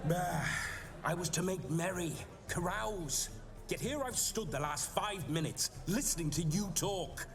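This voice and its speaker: A man's voice: high voice, animated, measured pace, over music. An actor playing a vain, boastful male noble ghost in a game cutscene, cocky and scornful. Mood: smug. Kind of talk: video game cutscene acting.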